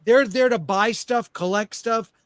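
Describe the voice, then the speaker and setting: A man's voice: high-pitched, measured pace, a bit bright, no echo. A man chatting casually as a panelist on a talk show. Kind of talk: casual chat.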